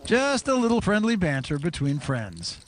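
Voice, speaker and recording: A man hosting and calling the action in a televised poker broadcast, with a brisk, measured play-by-play delivery. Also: high-pitched, wildly swooping pitch, a little hiss or hum. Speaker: play-by-play commentator.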